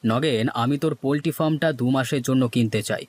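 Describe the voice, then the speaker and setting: A man's voice: medium pitch, fairly quick, a little mellow, lightly acted. A man voicing a shrewd, scheming village businessman in a cartoon, bossy and self-satisfied. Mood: commanding. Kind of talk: Bengali cartoon voice acting.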